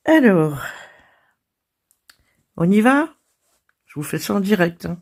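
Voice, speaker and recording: A French woman hosting her crafting channel, hoarse from a cold, chatting casually and laughing at herself. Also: medium pitch, very animated, takes it slowly, a little mellow. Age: older. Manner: chatty and self-deprecating, laughing and coughing. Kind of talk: casual chat.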